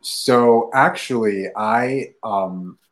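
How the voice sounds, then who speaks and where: A man, a startup founder answering interview questions in a measured way with many fillers. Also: mid-range voice. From Nigeria.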